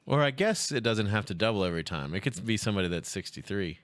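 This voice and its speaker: Fast talking guy, bouncy voice, crude jokes, dry mic. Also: lowish voice, expressive, a bit bright, dry recording. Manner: crude, joking wisecracks. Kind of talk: casual podcast chat.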